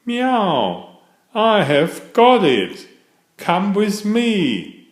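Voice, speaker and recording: A man's voice: high-pitched, wildly swooping pitch, very slow, pitched above the performer's own voice, heavily acted. A man voicing an eager, helpful male cat detective from a children's storybook, upbeat, emphatic and reassuring. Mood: excited, confident. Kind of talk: children's storybook character reading. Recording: echoey room.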